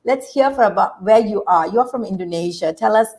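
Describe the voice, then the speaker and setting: Woman host, eager and upbeat, medium pitch. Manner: excited, fast and bubbly. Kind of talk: energetic interview hosting.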